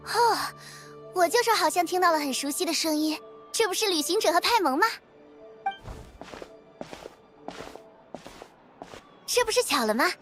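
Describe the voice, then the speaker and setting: Eager young female reporter for a game: high, courteous, chatty, bubbly delivery over music. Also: animated, fast, a bit bright. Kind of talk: video game voice acting.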